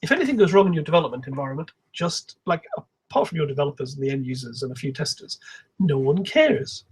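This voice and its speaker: A man giving an explanatory technical conference talk in a calm, measured manner, with a slightly husky voice. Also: fairly high pitch. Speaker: conference speaker, software engineer.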